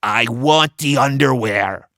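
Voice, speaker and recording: A man's voice: medium pitch, slow, creaky, a bit bright, no echo, studio quality. A man voicing a bossy, gleeful female pirate captain, an older sister, for a storybook. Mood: bossy, taunting. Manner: taunting, commanding storybook villain delivery.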